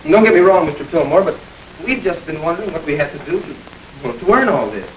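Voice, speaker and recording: A man's voice: on the high side, animated, fast talker, slight background noise, natural voice, low-quality recording. An actor playing a suspicious, streetwise young man and fiancé in a 1930s crime film. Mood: wary. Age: young adult.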